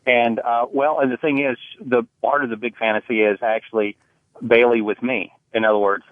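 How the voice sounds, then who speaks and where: A man's voice: medium pitch, steady tone, moderate pace, dry recording. A man calling in to a talk show, speaking hesitantly with many fillers over a phone line. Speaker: caller. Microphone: telephone.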